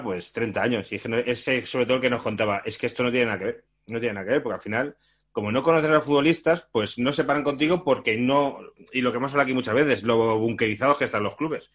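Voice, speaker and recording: A male co-host of a relaxed sports talk show speaks rapidly and chats freely. He fills the gaps with quick remarks. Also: mid-range voice. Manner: quick, chatty interjections. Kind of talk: casual studio chat.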